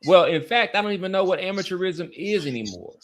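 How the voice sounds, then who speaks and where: A middle-aged American man hosting a casual sports discussion, speaking haltingly and repeating words for emphasis. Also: high-pitched, expressive, a bit bright. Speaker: sports podcast host.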